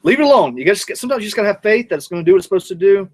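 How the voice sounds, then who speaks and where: A man's voice: high voice, sing-song, racing, a little hiss or hum. Rambling man grilling outdoors. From North Georgia, USA. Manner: rambling, jokey, talks while working the grill. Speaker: cooking show host. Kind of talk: casual cooking vlog.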